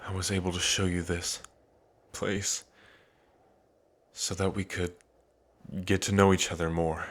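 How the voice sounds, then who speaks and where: A man playing an earnest, devoted dragon prince in an intimate ASMR roleplay, pausing between phrases. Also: low-pitched, little pitch movement. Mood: tender, lovestruck. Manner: slow, earnest, with long pauses between phrases. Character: lovestruck dragon prince, grieving, tender, devoted.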